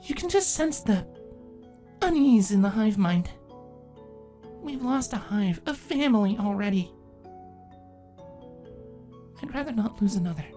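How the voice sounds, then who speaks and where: A man's voice: high-pitched, lively intonation, normal speed, dark tone, soft background music, pitched above the performer's own voice. A man voicing a troubled young female changeling, proud and wounded, in a fantasy story reading. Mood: uneasy.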